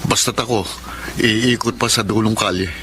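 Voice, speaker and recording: A man's voice: lowish voice, steady tone, moderate pace, background noise, lightly acted. A man playing a guilt-ridden married man in a radio drama, firm and defensive.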